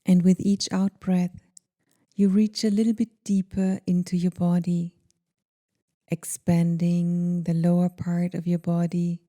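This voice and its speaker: A woman guiding a meditation in a gentle, soothing, deliberate manner, leaving pauses between phrases. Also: fairly low pitch, little pitch movement. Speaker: meditation guide. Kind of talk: guided meditation.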